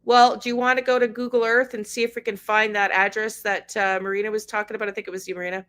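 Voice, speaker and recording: A woman's voice: medium pitch, little pitch movement, fast talker, fairly bright tone. A middle-aged woman, hosting a casual livestream, reading news aloud and chatting with viewers by name. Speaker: livestream host.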